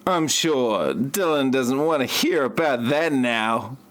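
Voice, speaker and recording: A man's voice: mid-range voice, animated, takes it slowly, a bit bright. A man playing an aggrieved novelist and secret hacker with a stilted, robotic delivery in an amateur parody audio drama. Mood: flat complaint. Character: stiff, aggrieved male novelist and self-styled hacker.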